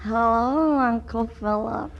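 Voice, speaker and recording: A woman's voice: fairly high pitch, slow, vocal fry, muffled recording. An actress playing a woman who poses as an innocent little girl in a 1940s radio comedy, coy with wry asides. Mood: wide-eyed innocent. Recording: old radio broadcast with faint noise.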